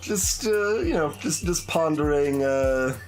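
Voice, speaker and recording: A man's voice: on the high side, lively intonation, takes it slowly. A man giving casual live commentary as a game streamer, rambling and thinking aloud as he goes. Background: faint game music.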